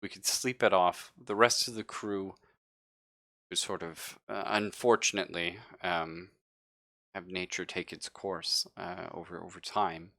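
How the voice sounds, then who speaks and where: A man acts out a younger male survivor of a space crew in a sci-fi roleplaying game. He is low-voiced, bright and slow, nervous and evasive, stammering his way through halting explanations. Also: fairly even intonation, vocal fry, no room sound, exaggerated voice. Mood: evasive, explaining.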